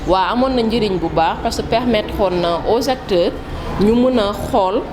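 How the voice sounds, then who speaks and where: A woman with a Senegalese accent, a project manager answering an interview, speaking haltingly with many pauses and switching between French and Wolof. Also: medium pitch. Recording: loud background noise.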